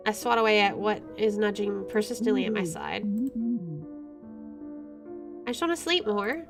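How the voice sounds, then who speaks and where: A woman gamer reads on-screen story text aloud with some drama. Her mid-pitched voice drops into playful, joking asides over faint music. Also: expressive, normal speed. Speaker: gaming video host. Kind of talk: playthrough commentary, reading game text aloud.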